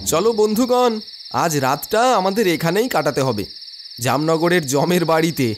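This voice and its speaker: A man voicing a bold, insistent young male student leader in an animated horror story. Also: fairly high pitch, moderate pace, background noise, lightly acted. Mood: jovial, assured.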